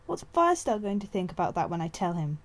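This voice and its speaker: A woman's voice: lowish voice, very animated, fast. A woman voicing a feisty young female apprentice cat in an audiobook, indignant and challenging. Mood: defiant.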